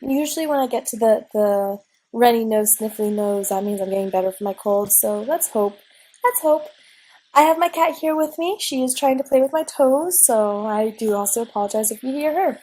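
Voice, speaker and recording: Young woman gamer, slightly high and husky, stuffy from a cold, chatty, unscripted home recording. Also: lively intonation, normal speed, mellow, rough recording. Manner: rambling, chatty, frequent ums and restarts. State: recovering from a cold, sniffly. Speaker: let's play gamer. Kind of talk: casual gameplay commentary. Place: at home at a desk.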